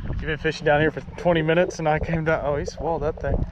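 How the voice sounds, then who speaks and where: Excitable man fishing off a night pier. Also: fairly high pitch, fast, lo-fi sound. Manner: excited, chatty, swears mildly. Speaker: fishing vlogger. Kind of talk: casual narration while fishing. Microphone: body-mounted action camera. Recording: noisy outdoor camera audio.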